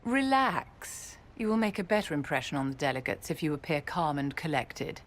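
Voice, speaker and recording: A woman's voice: sits low, sing-song, moderate pace. An actress playing a poised, imperious female religious leader in a video game, haughty and polished, with teasing endearments. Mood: composed, gracious. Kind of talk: video game cutscene acting. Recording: long hall reverb, faint noise.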